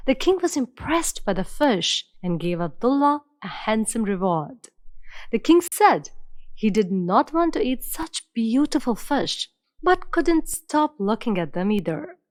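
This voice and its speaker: A woman narrating an animated fairy tale in an expressive, storybook way. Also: a little high, measured pace, dry recording.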